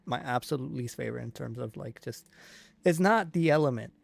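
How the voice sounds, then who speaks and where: A man giving casual live commentary on a video game, rambling and halting as he thinks aloud. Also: medium pitch, vocal fry, no room sound. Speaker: game streamer.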